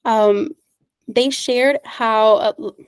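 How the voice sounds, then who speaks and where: A woman's voice: fairly high pitch, steady tone, extremely slow, smooth, dry recording. Upbeat woman presenting on a video call. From United States. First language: Spanish. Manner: friendly, upbeat, with frequent fillers and little laughs. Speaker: meetup organizer and presenter. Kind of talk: online meetup presentation.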